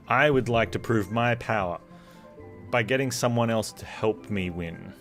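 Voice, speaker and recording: A man's voice: medium pitch, takes it slowly, faint music behind. A man giving casual, joking live commentary as a game streamer, with a wry manner.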